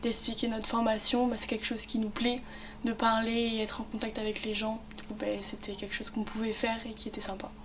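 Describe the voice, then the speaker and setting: A woman's voice: fairly high pitch, completely flat delivery, a little fast, distant mic, slight background noise. A woman of eighteen, a student ambassador, talking casually about her studies with many hesitations. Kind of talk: casual testimonial.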